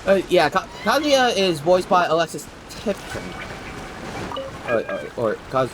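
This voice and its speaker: A man's voice: high voice, huge pitch swings, unhurried, slight background noise, poor audio. A young man chatting casually and slangily as he streams a game, rambling from thought to thought. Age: young adult. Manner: rambling, slangy, jokey self-deprecation. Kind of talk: casual livestream chat while playing. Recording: game music behind the voice. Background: video game music and dialogue.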